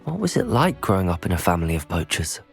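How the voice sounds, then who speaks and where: A man playing a kind, supportive teenage wizard, speaking gently and politely in video game dialogue. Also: low voice, sing-song, fast, vocal fry, over music, full character voice. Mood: sympathetic, curious.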